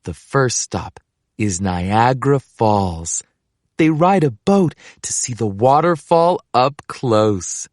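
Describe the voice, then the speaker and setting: Female storybook reader, unhurried delivery with lively pitch swings, recorded dry and close. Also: no echo. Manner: expressive, deliberate read-aloud delivery. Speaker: picture book reader. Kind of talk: scripted children's story read-aloud.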